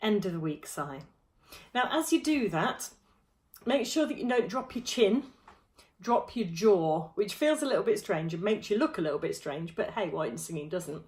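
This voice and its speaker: A woman, teaching a vocal warm-up to camera from a small room at home, friendly and demonstrative. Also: medium pitch, very animated, moderate pace. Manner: friendly, demonstrative, touching throat and jaw while explaining. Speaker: choir vocal coach.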